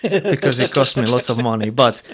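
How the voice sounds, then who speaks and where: A man's voice: medium pitch, lively intonation, a little fast, noisy background. A man with a Slovak accent hosting a podcast interview, talking bluntly and earnestly with frequent restarts and some swearing. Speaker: podcast host. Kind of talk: casual interview conversation.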